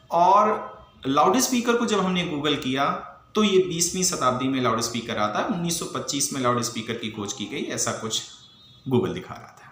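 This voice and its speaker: A man's voice: a little high, pushed and bright. An Indian man teaching in an emphatic, didactic lecture style, posing questions and mixing in English legal terms. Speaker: teacher for civil service exam students. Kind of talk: explanatory lecture with rhetorical questions. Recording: echoey room with faint background noise.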